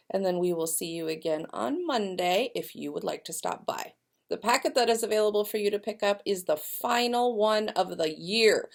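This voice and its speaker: A woman giving school announcements and reading a picture book aloud to young children, speaking directly to them in an expressive, deliberate way. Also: mid-range voice, vocal fry. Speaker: elementary school staff member giving announcements and read-alouds.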